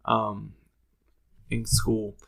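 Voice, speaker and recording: A man co-hosting a podcast in casual comedic banter, in a halting way that often repeats words. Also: fairly low pitch, completely flat delivery, creaky, a bit bright. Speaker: podcast co-host.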